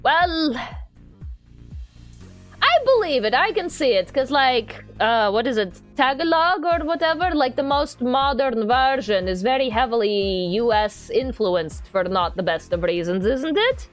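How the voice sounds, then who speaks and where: Female livestreamer, casual and playful, lively swooping pitch, rambling and repetitive delivery over soft background music. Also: normal speed, hardly any pauses. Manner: playful, rambling, repeats herself. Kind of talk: casual chat with viewers while doing crafts on stream.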